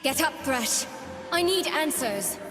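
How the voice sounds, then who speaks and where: Game role: high-voiced living doll girl, sweet yet defiant, delicate delivery, long reverb over prominent music. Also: lively intonation, slow pace. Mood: resolute. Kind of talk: video game character voice lines.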